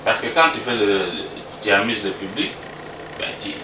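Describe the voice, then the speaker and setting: A man from Congo, a musician, answering interview questions in a relaxed, halting way that repeats words. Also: lowish voice. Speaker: musician guest. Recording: roomy sound from a distance with background noise.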